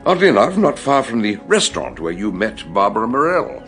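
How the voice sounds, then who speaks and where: An actor playing an eccentric, learned older British detective in a radio drama, ruminative and exclamatory with old-fashioned turns of phrase. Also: male voice, lowish voice, big pitch swings, fairly quick, a bit bright, faint music behind. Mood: storytelling, wry. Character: eccentric older learned male detective doctor, erudite and theatrical.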